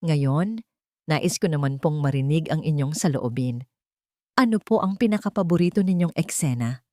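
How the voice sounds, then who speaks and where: A woman's voice: deep voice, animated, normal speed, warm tone, dry recording, studio quality. A woman narrating a scripted story in an earnest, sentimental and formal way. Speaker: storyteller and channel host.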